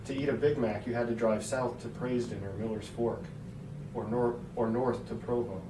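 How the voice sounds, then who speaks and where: A man's voice: medium pitch, fairly even intonation, normal speed. A man reading a scripted story aloud as its narrator. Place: hotel room. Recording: echoey hotel room, distant mic, noisy.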